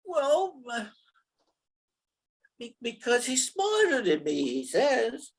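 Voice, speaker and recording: A woman's voice: fairly high pitch, wildly swooping pitch, husky, exaggerated voice. A woman playing a dim, insecure gangster gunman in a radio play, hesitant and stammering. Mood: hesitant, gullible. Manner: hesitant, stammering, slow-witted. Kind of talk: 1940s radio comedy-thriller acting.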